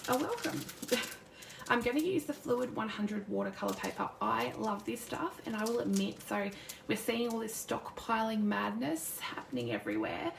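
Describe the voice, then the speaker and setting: A woman with a croaky sore throat chatting casually and rambling as she demonstrates crafting live, greeting viewers by name. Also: on the high side, background noise. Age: adult with a son. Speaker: crafting demonstrator, youth worker. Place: home craft desk. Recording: roomy distant mic at a craft desk.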